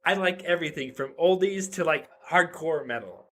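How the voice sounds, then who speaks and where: A man in his thirties with a bright, forward tone and a slightly high voice, talking as a podcast guest. He rambles cheerfully, stacks up "like" fillers and restarts his sentences as he jokes along. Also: animated, moderate pace, slight background noise. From Reno, Nevada. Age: 30s. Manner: chatty and joking, many "like" fillers and restarts. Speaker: podcast guest, web developer. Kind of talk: casual interview chat.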